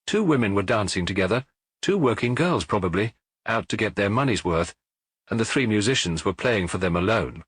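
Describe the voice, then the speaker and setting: A man's voice: lowish voice, animated, fairly quick, fairly bright tone, breathy edge, dry recording. A man reading a detective story as scripted literary narration for an audiobook.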